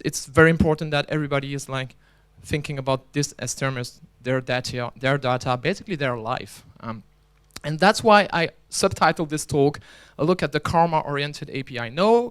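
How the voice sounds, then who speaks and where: Clear-voiced man giving a joking conference talk. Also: on the high side, normal speed, hardly any pauses, a bit bright. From Belgium. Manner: chatty, joking, restarts often. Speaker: conference speaker and organizer. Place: conference hall.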